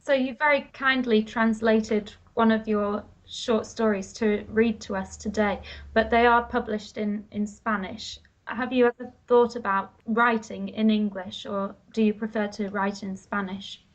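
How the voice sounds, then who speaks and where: A woman's voice: on the high side, steady tone, a little slow, hardly any pauses. A woman interviewing a writer on a radio show, polite and attentive. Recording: muffled radio studio audio.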